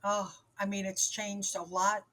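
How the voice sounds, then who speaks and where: A woman's voice: medium pitch, flat delivery, measured pace, fairly bright tone. Rambling, candid woman in her seventies. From New York. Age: 70s. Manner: candid, rambling, frequent fillers and restarts. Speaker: guest. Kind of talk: personal story in interview.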